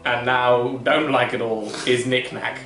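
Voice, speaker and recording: A man's voice: mid-range voice, flat delivery, a little slow, fairly bright tone, reverberant, faint music behind, poor audio. A man co-hosting a casual film podcast, talking wryly and quoting lines from the movie. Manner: wry, conversational, quotes film lines. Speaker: podcast co-host.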